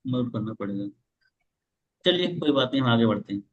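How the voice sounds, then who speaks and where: A man's voice: mid-range voice, expressive, a little fast, lo-fi sound. A man leading a live online sales training, explaining how to call old leads and acting out both sides of the call with repeated questions. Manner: explains with role-play examples and repeated questions. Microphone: video call.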